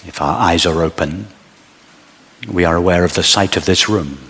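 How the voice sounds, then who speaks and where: A man leading a guided meditation as a teacher, speaking in measured short phrases with long pauses. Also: low-pitched, a bit bright, breathy edge, long reverb tail.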